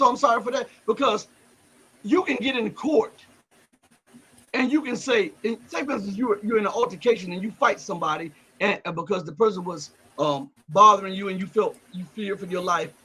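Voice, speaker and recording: Raspy high-voiced man hosting a livestream. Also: rough recording. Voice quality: voice cracks and breaks up. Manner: building to loud, heated rhetorical questions; reads viewer comments aloud and reacts. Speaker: livestream host. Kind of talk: political commentary livestream.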